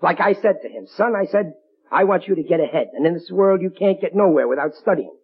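High-pitched, blustery American sitcom dad. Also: male voice, animated, a little fast, breathy edge, full character voice. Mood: smug, boastful. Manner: blustering, ungrammatical everyman talk. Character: bumbling, blustery working-class father, stubborn and boastful. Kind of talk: 1940s radio sitcom acting. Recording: muffled old radio broadcast.

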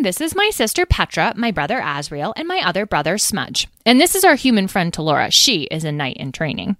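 A woman voicing an eager, excitable young dragon in a storybook reading. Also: mid-range voice, no echo, clean studio sound, pitched above the performer's own voice, close to an everyday voice. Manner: bubbly, shouting with joy.

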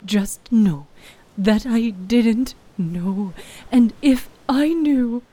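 A woman voicing an older, guilt-ridden grandmother in a fantasy story, pleading and tender in a hoarse, breathy voice. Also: fairly high pitch, very slow, mellow. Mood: remorseful, pleading.

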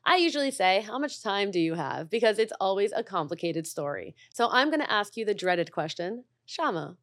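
A woman hosting a podcast, introducing her show and guest in a lively, expressive way. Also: mid-range voice, moderate pace, no echo, studio quality. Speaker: podcast host. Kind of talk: podcast interview.

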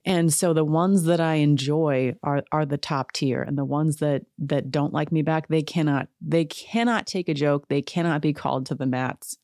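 A woman hosting a podcast in a casual, chatty way, sarcastic and quick to laugh. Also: low voice, darkish tone, no echo, studio quality. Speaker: podcast host. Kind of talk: casual chat.

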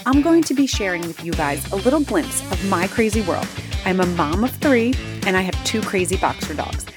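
A woman's voice: mid-range voice, animated, moderate pace. A woman giving an upbeat, scripted introduction as a podcast host. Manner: upbeat, promotional. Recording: intro read over loud music.

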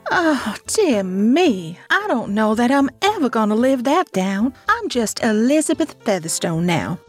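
Woman acting a genteel socialite, husky, animated, self-important, affected, blunt, audio drama. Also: on the high side, a little slow, soft background music, heavily acted. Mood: airy, curious.

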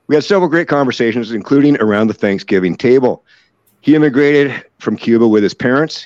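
A man's voice: mid-range voice, no echo. A man hosting an interview podcast, asking loose, conversational questions. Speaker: podcast host. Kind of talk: casual interview chat. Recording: dry, dull lo-fi sound.

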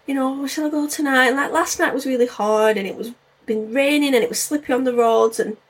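A woman's voice: high voice, brisk, breathy edge. A woman in her forties hosting her own podcast, chatting casually and rambling with lots of fillers. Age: 40s. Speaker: podcast host. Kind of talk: casual solo podcast chat.

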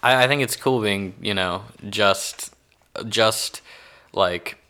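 A man with a Texan accent speaks in a low, crisp voice with a bright edge. He chats casually as a guest, wry and self-deprecating, often hesitating over how to put things. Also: slow. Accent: Texan American. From born in Hong Kong, raised between Texas and Pakistan. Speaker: interview guest. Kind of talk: casual interview chat.

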